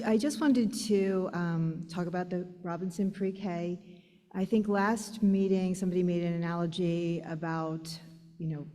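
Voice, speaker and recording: A woman's voice: fairly low pitch, warm tone. A woman earnestly pleading with a board during public comment, with hesitant restarts. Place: large meeting hall. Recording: long hall reverb.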